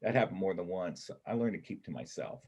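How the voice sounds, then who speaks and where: Husky, low-voiced ex-con drifter, played by a man. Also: big pitch swings, fairly quick, darkish tone, full character voice. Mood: confiding. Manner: colloquial, blunt, wry. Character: Kip, wounded ex-convict drifter, bookish loner, self-doubting. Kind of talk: stage reader's theater dialogue.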